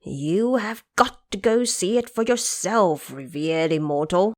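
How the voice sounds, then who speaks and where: A woman's voice: medium pitch, unhurried, darkish tone, close to an everyday voice. A woman voicing an old, timid male dragon king of the sea, nervous, pleading and hedging. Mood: grumbling.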